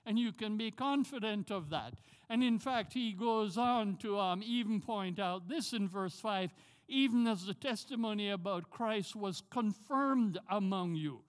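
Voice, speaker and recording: A man's voice: sits high, measured pace. An older man from Jamaica with a Jamaican accent, preaching a sermon as a pastor and driving his points home by repeating key words. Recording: long hall reverb.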